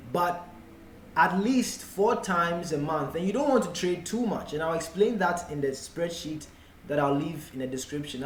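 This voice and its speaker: A man with a Ghanaian accent giving an unscripted explanatory tutorial in a deliberate manner, in short broken phrases. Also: on the high side, darkish tone. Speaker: forex trading tutor. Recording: echoey room with faint background noise.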